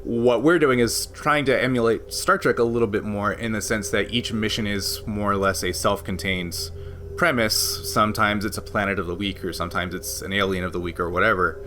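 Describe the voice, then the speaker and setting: A man's voice: on the low side, steady tone, brisk, fairly bright tone, faint music behind. A man hosting a tabletop game podcast, explaining a rules system at length in a casual way, with frequent laughs. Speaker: game master and podcast host.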